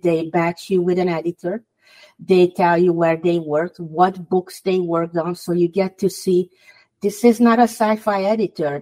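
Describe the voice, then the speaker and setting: A woman's voice: lowish voice, warm tone, rough recording. A woman from Hungary with a Hungarian accent, speaking English, which is not her first language, as a guest author on a podcast interview and giving earnest advice.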